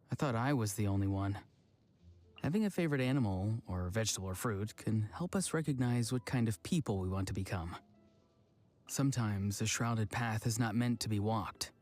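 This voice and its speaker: A man playing a gentle, cryptic, kindly character in a voice drama. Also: mid-range voice, natural voice. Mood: musing. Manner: calm, kindly, philosophical. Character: gentle, cryptic, generous vegetable-growing wanderer. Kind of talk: character voice acting audition reel.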